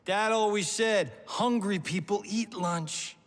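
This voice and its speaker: A man's voice: sits high, takes it slowly, fairly bright tone. An actor playing a cocky, wisecracking middle-aged male action-movie star with a sarcastic, swaggering delivery in a video game cutscene. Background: game soundtrack music.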